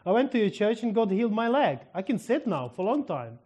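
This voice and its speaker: A cheerful, grateful woman, chirping high and loud, as a man with a Ukrainian accent quotes her in his sermon. She sounds bright and eager to share her news. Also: big pitch swings, brisk, breathy edge, heavily acted.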